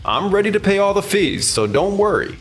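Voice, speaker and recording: A man's voice: sits high, sing-song, fairly quick, a bit bright, heavily acted. A man playing a cocky, smug young salesman in a manga dub. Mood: cocky, irritated. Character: cocky, smug male top salesman who steals brides.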